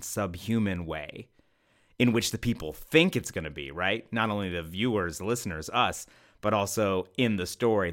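A man's voice: low-pitched, fairly bright tone. A man hosting a podcast, giving casual commentary in a conversational way, repeating phrases and checking in with the listener. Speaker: podcast host.

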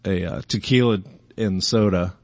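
A man from the American South chatting casually as a podcast guest, laughing often as he tells stories. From Arkansas, US South. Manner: laughing, drawn-out storytelling with lively pitch. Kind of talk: casual chat.

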